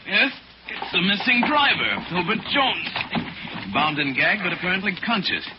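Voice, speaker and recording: An actor playing a calm, authoritative crime-fighting detective in 1940s radio style, with measured, formal, explanatory delivery. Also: male voice, high-pitched. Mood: assured, explaining. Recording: noisy, muffled 1940s radio recording.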